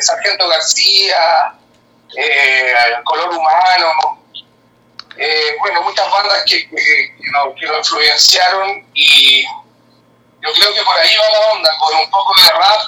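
A man from Chile, a band member answering interview questions in a relaxed, hesitant way with drawn-out pauses. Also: sits high, echoey room, slight background noise, low-quality recording.

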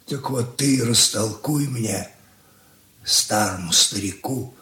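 An older actor playing a stern, bewildered old father in a radio drama, with a weighty, halting manner. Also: on the low side, pushed and bright. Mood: bewildered, sad. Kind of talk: Soviet radio play acting.

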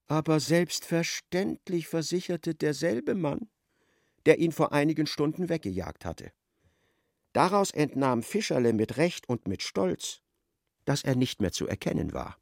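An actor narrating a literary radio play as a wry, expressive storyteller. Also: male voice, fairly high pitch, unhurried, creaky, no echo, clean studio sound.

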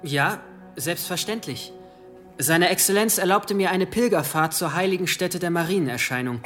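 A loyal manservant in a radio drama, servile and polite. His voice is bright and somewhat high, speaking formally to his betters. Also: normal speed, faint music behind. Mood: deferential, careful. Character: deferential, loyal valet to a medieval bishop. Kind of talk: radio play acting.

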